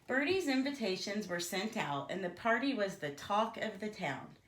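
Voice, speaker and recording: Animated woman reading a children's story. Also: medium pitch, moderate pace, reverberant, slight background noise, low-quality recording. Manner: theatrical, asides to young listeners. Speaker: storybook reader. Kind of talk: expressive children's story read-aloud.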